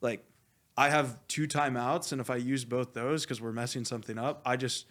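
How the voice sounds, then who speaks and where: A man speaking as a coach in a casual interview, animated and blunt, rambling with lots of "like" and some swearing. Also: mid-range voice, normal speed. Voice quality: loud voice. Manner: animated, rambling, heavy use of "like". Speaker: esports coach being interviewed. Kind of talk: casual, candid interview talk.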